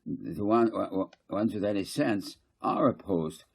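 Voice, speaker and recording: A man's voice: lowish voice, lively intonation, unhurried, vocal fry, husky, heavily acted. A man reading a story, voicing a blunt, scornful small-town councillor who is dismissive and plain-spoken.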